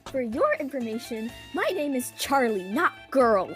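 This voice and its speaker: A woman's voice: high-pitched, sing-song, measured pace, music in the background. A woman playing a whiny, exasperated schoolkid in a radio play, stammering when flustered. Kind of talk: student radio theatre acting.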